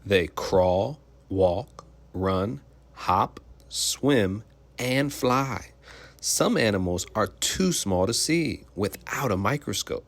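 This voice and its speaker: A man's voice: fairly low pitch, lively intonation, slow. A man reading a children's picture book aloud like a teacher, explaining simply and putting questions to young listeners.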